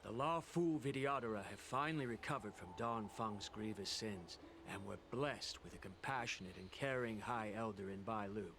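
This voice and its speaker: A man playing a stern, formal messenger in a video game, speaking in a measured, grave way. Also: on the low side, a bit bright, slight background noise. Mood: stern warning. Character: male Vidyadhara of an alchemy commission, stern messenger giving warnings. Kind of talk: video game dialogue acting.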